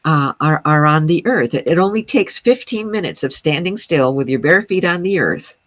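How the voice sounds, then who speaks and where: A woman with a low voice for a woman, a sound healing teacher speaking as a guest, explaining earnestly with many fillers and restarts. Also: animated, moderate pace, a bit bright, dry recording, studio quality.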